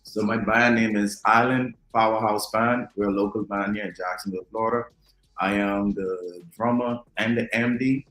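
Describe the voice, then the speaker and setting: A man from the Bahamas, a guest talking unhurriedly about his music, with many ums and pauses. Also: on the low side, steady tone, fairly bright tone. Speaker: DJ and drummer, interview guest. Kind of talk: relaxed conversational interview. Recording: echoey room.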